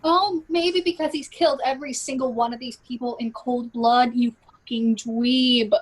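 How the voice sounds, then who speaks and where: A woman's voice: on the high side, steady tone, moderate pace, slightly rough. A woman playing a brash, foul-mouthed female secret service boss, sarcastic and scolding, in a comedy script read. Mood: exasperated.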